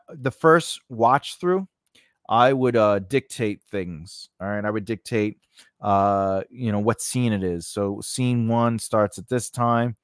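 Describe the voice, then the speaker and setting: A man's voice: fairly low pitch, dry recording, studio quality. A man teaching an informal, unscripted tutorial in a conversational way, often repeating phrases and checking in with the listener. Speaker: instructor and host of a writing lesson.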